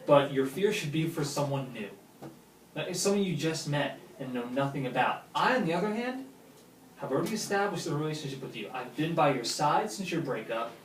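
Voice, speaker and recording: A man's voice: on the high side, animated, moderate pace, close to an everyday voice. An actor playing a lovesick, pushy man pleading earnestly with his best friend in naturalistic film dialogue. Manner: earnest, insistent. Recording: roomy, distant mic with faint noise.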